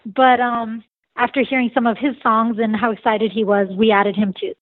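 A woman in her forties talks with a nasal, slightly high voice, bubbly and quick to laugh. She sounds like she is on a tinny phone line, dry and close. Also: flat delivery, no room sound. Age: 40s. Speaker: singer and saxophonist. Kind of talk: casual interview answers.

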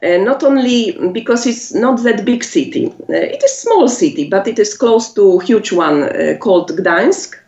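A woman with a Polish accent, a native Polish speaker, answering interview questions in halting English with many pauses and laughs. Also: medium pitch, expressive, a little mellow. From Poland. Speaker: musician guest. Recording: echoey, muffled lo-fi audio.

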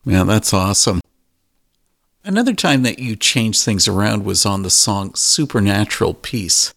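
Gravelly low-voiced male interviewer. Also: expressive, unhurried, a bit bright, dry recording, studio quality. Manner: brief, encouraging questions. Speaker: interview host. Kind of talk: interview questions.

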